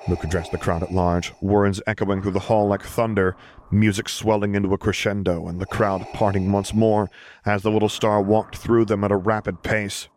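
A man's voice: on the low side, flat delivery, normal speed, a little mellow, a little hiss or hum. A man narrating a fiction audiobook from a script.

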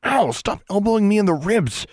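A man's voice: sits high, sing-song, moderate pace, a little mellow, dry recording, clean studio sound, voice pushed up. A man voicing a nervous, awkward young schoolboy. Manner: nervous, protesting.

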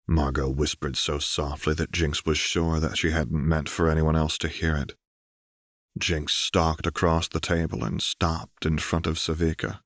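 A man's voice: extremely low pitch, dry recording, clean studio sound. A man reading a fiction audiobook as narrator, in dramatic scripted narration.

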